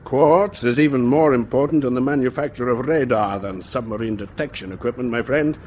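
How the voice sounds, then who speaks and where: A man's voice: medium pitch, brisk, a little mellow, close to an everyday voice. Man acting urbane wartime naval captain, noisy backdrop. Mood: serious, confiding. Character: worldly German naval captain, genial host, scornful of Berlin. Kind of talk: wartime radio drama acting.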